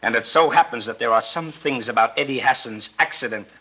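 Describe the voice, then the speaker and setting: An actor playing a smug, scheming American blackmailer in a 1940s radio drama, talking in sly, insinuating slang with a husky voice. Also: male voice, medium pitch, fairly quick, lightly acted. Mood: menacing, bitter. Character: smug scheming male blackmailer, fired insurance investigator. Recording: muffled 1940s radio recording.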